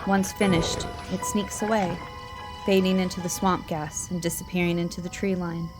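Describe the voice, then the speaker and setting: A woman narrating a dark tale in a dry, deadpan voice. Her voice sits slightly low, with music under it. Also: normal speed. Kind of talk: scripted dark-comic narration.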